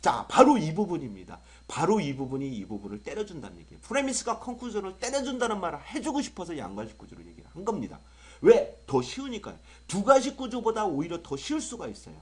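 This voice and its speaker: A young adult male English tutor with a sore throat from a cold, lecturing in an excitable, exaggerated way full of rhetorical questions. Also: sits high, fast, breathy edge. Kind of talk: online exam-prep lecture.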